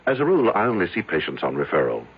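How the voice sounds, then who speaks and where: An actor playing a reserved psychiatrist, speaking formally and with measure in an old radio drama. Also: male voice, sits low, wildly swooping pitch, rapid-fire, creaky, breathy edge, full character voice. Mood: reserved, professional. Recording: dull old radio broadcast.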